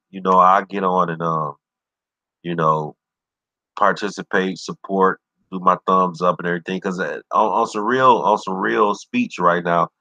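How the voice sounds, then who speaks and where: A man's voice: deep voice, sharp tone, no room sound, highs cut off. A man hosting his own livestream show, talking loosely and encouragingly, constantly saying "you know what I'm saying". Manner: easygoing, encouraging. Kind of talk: casual livestream talk.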